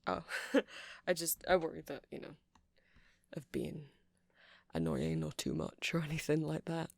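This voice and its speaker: Creaky-voiced, hesitant, nerdy shop clerk performed as ASMR roleplay. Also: mid-range voice, very animated, measured pace, dry recording, clean studio sound, exaggerated voice. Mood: shy, relieved. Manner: hesitant, rambling, self-deprecating, nervous little laughs. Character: nerdy, eager, apologetic vampire music-shop clerk.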